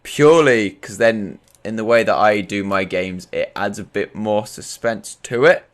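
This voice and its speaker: A man's voice: lowish voice, a little slow. A man from Europe hosting a casual, informal chat about tabletop games, often repeating his phrases. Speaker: talk show host and game master.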